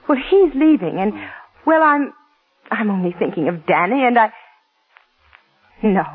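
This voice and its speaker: Coaxing young wife, swooping, tinny old radio. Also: female voice, mid-range voice, slightly rough. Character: possessive young newlywed wife, sweet-voiced and scheming. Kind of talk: 1940s radio acting. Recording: muffled, tinny 1940s radio broadcast.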